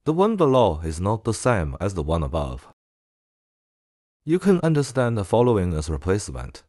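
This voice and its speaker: A man presenting a software tutorial in a casual way. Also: lowish voice, wildly swooping pitch, moderate pace, dry recording, studio quality.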